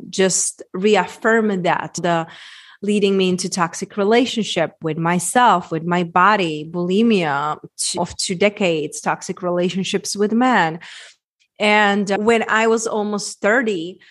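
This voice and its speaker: A woman in her forties with a Czech accent, earnestly telling her personal story as a podcast guest, repeating phrases for emphasis. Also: fairly low pitch. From Czech Republic. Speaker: podcast guest, women's transformation coach.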